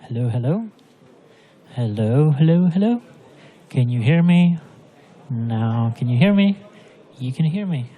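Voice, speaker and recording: Slow-talking conference host, higher voice. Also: male voice, a little mellow. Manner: unhurried, with fillers. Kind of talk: event announcements. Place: conference hall. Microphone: stage microphone.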